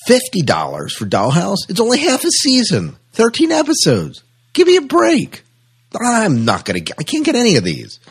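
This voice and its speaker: A man's voice: high voice, wildly swooping pitch, moderate pace, breathy edge, pitched above the performer's own voice, heavily acted. A man playing an exasperated penny-pinching everyman shopper in a hammy comedic ad skit, muttering to himself and getting incredulous. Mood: exasperated, indignant.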